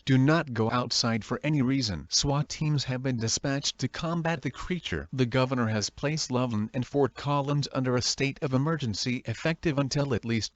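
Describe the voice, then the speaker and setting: Dull, slightly lo-fi broadcast audio carries a synthetic man's voice at a middling pitch. He reads like an emergency alert announcer, formal and impersonal. The sound is very dry, with no room around the scripted words. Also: no echo. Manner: formal, flat, impersonal delivery.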